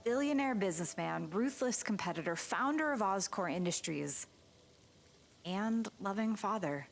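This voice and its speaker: Practical female spouse and mother, played by an actress, reflective, mid-pitched with vocal fry, over rain. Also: expressive, moderate pace, soft background music. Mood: subdued. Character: practical, thoughtful mother and wife. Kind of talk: comic dub acting.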